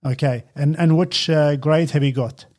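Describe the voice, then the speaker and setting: A middle-aged man with a husky voice hosts a casual chat. He talks in a relaxed, halting way, with plenty of fillers and restarts. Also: on the high side. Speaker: podcast host, father.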